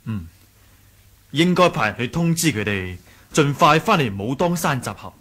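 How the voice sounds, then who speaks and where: An actor playing an earnest, hot-headed young male martial arts sect leader in a radio drama, with a husky voice. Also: mid-range voice, sing-song, moderate pace, a bit bright. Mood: calm, concerned. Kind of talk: Cantonese radio drama acting.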